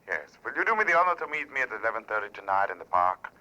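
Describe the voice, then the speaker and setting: Polite but sinister phone blackmailer, vintage radio drama; piercing edge, brisk pace. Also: male voice, on the low side, big pitch swings, pushed and bright, lo-fi sound, full character voice. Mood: suave menace. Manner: formal, courtly. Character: suave, menacing male blackmailer on the telephone. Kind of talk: 1940s radio thriller acting.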